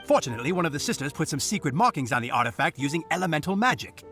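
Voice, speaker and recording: A man's voice: fairly high pitch, big pitch swings, rapid-fire, fairly bright tone. A man playing an officious, dutiful knight on guard duty in an anime-style video game, speaking in a formal, declamatory way. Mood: explanatory. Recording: music behind the voice.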